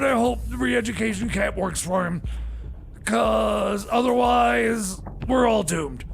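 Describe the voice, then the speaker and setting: Man putting on a flustered comedy radio DJ, very high male voice, slow halting stammer, hapless, faint background noise. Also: fairly bright tone, full character voice. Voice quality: high-pitched, creaky. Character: flustered hapless male time machine mechanic stand-in DJ. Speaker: radio show DJ. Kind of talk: comedy radio theater, rambling DJ patter.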